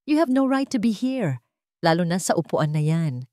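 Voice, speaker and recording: A woman voicing an arrogant rich male heir who shouts in indignation, in a storybook reading. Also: lowish voice, big pitch swings, normal speed, dry recording, studio quality. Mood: indignant. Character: arrogant rich heir, man, losing composure.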